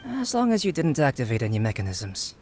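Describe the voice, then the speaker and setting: A man voicing a calm, analytical young scholar in a video game. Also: mid-range voice, brisk. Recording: music with mallet percussion behind the voice.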